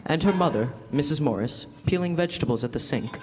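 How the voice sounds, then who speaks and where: A formal old-time radio narrator acted by a young man, fairly high in pitch. He delivers suspense storytelling in theatrical, measured phrases, with the long reverb of a stage hall. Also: lively intonation, lightly acted. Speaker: announcer and narrator. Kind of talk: 1940s radio drama narration. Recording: echoey hall, live stage.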